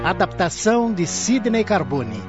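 A man's voice: high-pitched, sing-song, unhurried. A man reading a scripted announcement as the announcer of a radio drama. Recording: muffled lo-fi audio under loud music.

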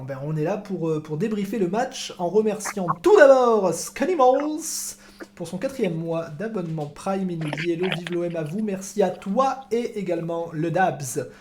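Rambling podcast host, upbeat. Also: male voice, high voice, background noise. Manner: rambling, repeats words, jokey. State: recovering from illness. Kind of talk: casual chat.

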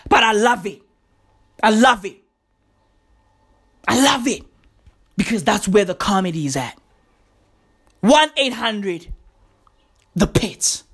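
A young woman who hosts a podcast rants in profane, slang-heavy bursts. Her voice is going hoarse. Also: medium pitch, lively intonation, slow pace, pauses often, a bit bright. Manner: profane, slangy, emphatic ranting. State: losing her voice. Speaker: podcast host. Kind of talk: comedic podcast rant.

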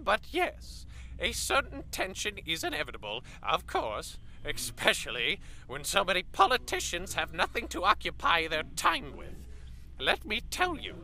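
A man's voice: on the high side, sharp tone, exaggerated voice. An actor playing a condescending male professor giving a pompous explanation in a radio drama, over a noisy recording. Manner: pompous, sneering at colleagues. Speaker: scientist interviewed on air.

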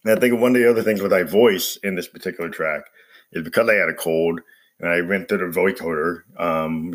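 Deep, ragged-voiced man with a cold. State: hoarse from a cold. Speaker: host, musician. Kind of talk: casual music production walkthrough.

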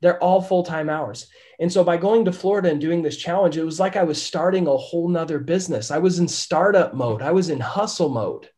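A man, a real estate investor and podcast guest, telling his story candidly in a casual interview, his voice raspy from illness. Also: on the high side, fairly quick, hardly any pauses, dark tone. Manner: candid, says "right?" often.

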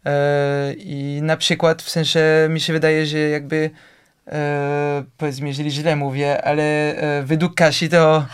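A young man from France talks as a guest in a casual chat. His voice is smooth and resonant, set somewhat high for a man. He hesitates often, filling gaps and restarting phrases as he searches for words, and sometimes trips over word forms. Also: level tone, unhurried. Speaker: interview guest. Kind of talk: casual conversation.

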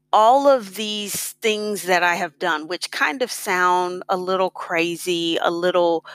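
Unhurried middle-aged American woman, lower voice. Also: a bit bright, no room sound. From United States. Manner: reflective, conversational. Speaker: blogger on expat life and personal finance. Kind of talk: casual personal storytelling.

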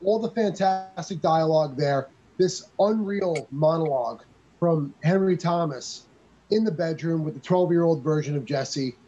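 A man's voice: on the high side, measured pace, dark tone, dull audio. A man co-hosting a casual movie review podcast, praising films with enthusiasm. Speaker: podcast co-host.

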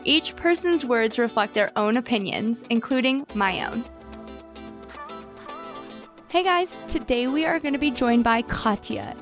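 A young woman hosting an interview podcast, introducing the show and talking with her guest. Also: on the high side. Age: young adult. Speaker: podcast host.

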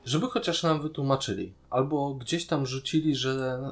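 A man's voice: medium pitch, moderate pace. A man with a Polish accent and a mid-range voice talks as a podcast co-host. His delivery is casual and critical, with an exasperated, disbelieving edge, and faint music sits behind him. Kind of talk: casual critical discussion of a series.

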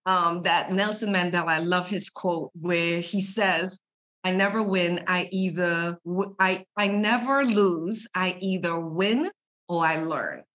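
A woman's voice: lowish voice, unhurried, fairly bright tone. Woman from Trinidad and Tobago, podcast guest. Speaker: podcast guest, HR consultant and coach. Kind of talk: conversational interview, introducing herself.

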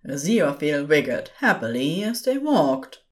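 Low-voiced, expressive woman storyteller. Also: normal speed. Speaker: narrator. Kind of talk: scripted fan fiction narration.

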